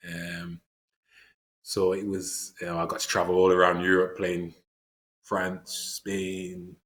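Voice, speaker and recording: A man's voice: deep voice, completely flat delivery, slow, a bit bright. A man, speaking earnestly as an interview guest, with frequent fillers and restarts. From England. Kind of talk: reflective conversational interview.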